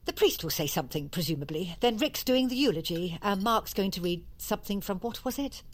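Brisk, dismissive English mother, lively pitch actress. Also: close to an everyday voice. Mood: brisk, dry. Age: middle-aged. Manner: brisk, matter-of-fact, contradicting. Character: brisk, dismissive middle-aged mother hosting a tense family dinner. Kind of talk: naturalistic audio drama acting.

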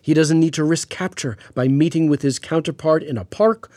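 An actor playing a learned, patient astrophysicist in an audio drama radio interview, explaining things in a measured, precise, pedantic way. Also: male voice, fairly high pitch, a little mellow, no echo, clean studio sound, close to an everyday voice. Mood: measured, grave. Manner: pedantic, measured, hesitant with hedging asides. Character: learned male astrophysicist, patient, precise, mildly amused Cold War expert. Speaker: astrophysicist guest on a radio show.

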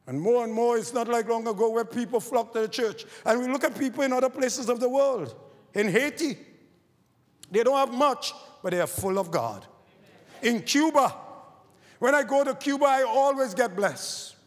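A man from Barbados with a Barbadian accent, preaching a sermon, moving from quiet scripture reading to fervent exhortation. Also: extremely high pitch, animated, moderate pace. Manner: reads scripture quietly, then rises to fervent shouted preaching. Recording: long hall reverb.

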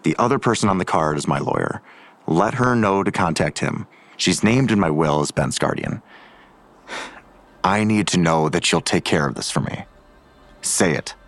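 An actor playing a curt, guarded, world-weary lawman in an audio drama, with blunt, terse delivery and a gravelly voice. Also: sits low, expressive, normal speed, long pauses, fairly bright tone. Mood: grave, urgent. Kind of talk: full-cast audio drama acting.

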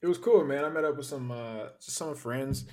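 A man co-hosts a sports podcast in a relaxed, easygoing manner. He speaks at an ordinary pitch with a casual, unhurried delivery. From Arizona. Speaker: podcast co-host.

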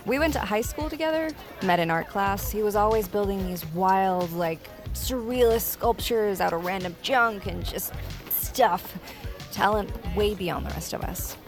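Teasing curious young woman, lots of vocal fry, on the lower side, casual fiction podcast. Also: animated, a little slow, music in the background. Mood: chatty. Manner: playful, casual. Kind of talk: naturalistic audio fiction acting.

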